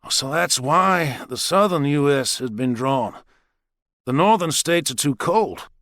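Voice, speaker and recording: A man's voice: a little high, animated, measured pace, a bit bright, no echo, clean studio sound, pitched above the performer's own voice. A man voicing a determined police officer of thirty with a probing, insistent manner in an audiobook. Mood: puzzled. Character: questioning, determined thirty-year-old male police officer.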